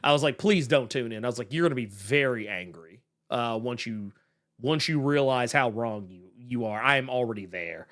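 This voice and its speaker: A man hosting a podcast, talking casually and animatedly, swearing and breaking into quick asides. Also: mid-range voice, a bit bright. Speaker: podcast host. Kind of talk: casual chat reacting to a video.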